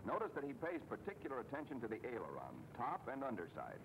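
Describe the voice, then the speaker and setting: A man's voice: wildly swooping pitch, quick pace. A man giving scripted instructional narration for a training film, explaining things in a folksy way with wry asides. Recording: noisy old training film soundtrack, engine rumble.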